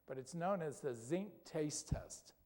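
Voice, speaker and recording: A man with a fairly high voice gives a casual health talk in a church hall, turning to the crowd with questions and tagging his points with a quick "okay?". A long hall reverb hangs on every word. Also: animated, darkish tone. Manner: conversational, asks the audience questions, tag "okay?". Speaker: doctor giving a health talk. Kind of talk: health lecture with audience questions.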